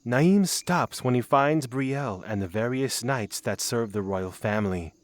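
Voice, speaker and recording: A man's voice: medium pitch, a little slow. A man reading scripted narration for a fantasy audio drama.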